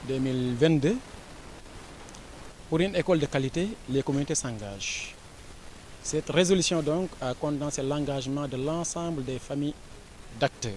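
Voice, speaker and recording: A man with a Senegalese accent, an education official giving a formal, measured interview statement with frequent pauses and fillers. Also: on the high side, a little mellow, a little hiss or hum.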